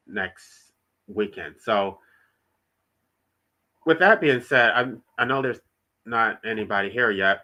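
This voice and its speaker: A man's voice: lowish voice, bright, forceful tone. A congested man with a nasal voice hosts a solo livestream, reading his own writing aloud and then explaining it in plain, emphatic terms. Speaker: author and channel host.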